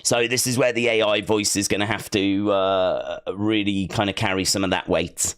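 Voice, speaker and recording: A low-voiced man talks casually into a streaming mic, his voice tired and weak as he rambles and repeats himself. The sound is dry and close, with no room around it. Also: fairly even intonation, moderate pace, a bit bright, clean tone, no echo. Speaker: live streamer hosting a fight watch-along. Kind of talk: casual live-stream chat. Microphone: streaming microphone.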